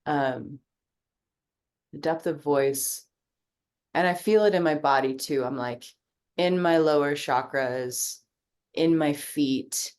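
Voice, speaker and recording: A woman's voice: sits low, slow pace, pauses often, darkish tone. A woman sharing in a group coaching video call, talking in a casual, reflective way, full of fillers and easy swearing. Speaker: coaching participant.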